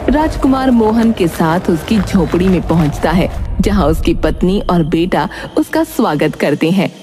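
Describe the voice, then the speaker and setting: A woman's voice: lowish voice, lively intonation, measured pace. A woman giving scripted narration of a fairy tale as the storyteller. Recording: music under the voice.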